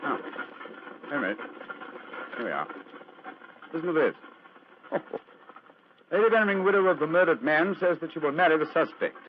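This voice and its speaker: An actor playing a shrewd, self-assured British gentleman detective in a vintage radio drama, with a crisp theatrical delivery. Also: on the high side, expressive, moderate pace, pauses often, lightly acted. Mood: intrigued. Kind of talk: 1940s radio acting. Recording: narrow, noisy vintage radio recording with clicks.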